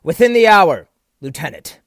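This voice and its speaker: A man's voice: a little high, big pitch swings, moderate pace, a bit bright, dry recording, clean studio sound. A man playing a proud, volatile sea captain in an audio drama, indignant and theatrical in formal period diction. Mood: indignant, defiant. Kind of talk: stage-play acting in an audio drama.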